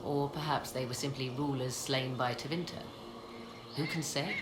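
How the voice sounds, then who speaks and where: A woman's voice: a bass voice, fairly even intonation, normal speed, background noise. An actress playing a proud, enigmatic witch and scholar of ancient lore in a video game, speaking in formal, archaic, theatrical phrases. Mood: scholarly, intrigued. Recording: roomy echo, music behind.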